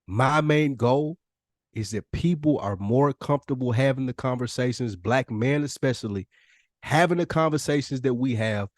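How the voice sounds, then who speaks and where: A man's voice: mid-range voice, normal speed, dry recording, studio quality. An American man co-hosting a casual podcast, talking earnestly and repeating phrases for emphasis. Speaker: podcast co-host.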